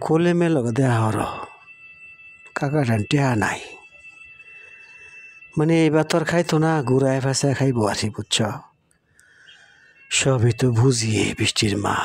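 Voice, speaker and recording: A man's voice: mid-range voice, breathy edge. Man acting as a worried village husband. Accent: rural Bangladeshi. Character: worried poor village husband and father seeking money. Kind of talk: cartoon dialogue.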